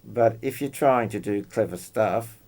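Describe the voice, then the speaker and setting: A man chatting casually about technical matters as a club member, explaining details in a halting way. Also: on the low side, darkish tone. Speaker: club member discussing radio gear.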